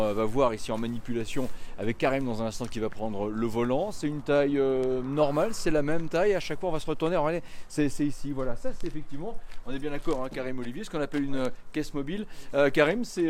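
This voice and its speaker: A man's voice: medium pitch, a little mellow, slight background noise. A man hosting a live recruitment interview outdoors, upbeat and chatty, with plenty of hesitation fillers. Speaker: host.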